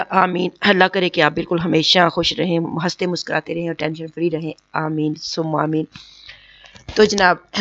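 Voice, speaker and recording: Woman, tutorial host, hoarse throat, flat and patient, lo-fi dry mic. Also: on the low side. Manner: keeps checking in with "okay?" and repeats points patiently. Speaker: online earning tutorial host. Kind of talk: casual screen tutorial.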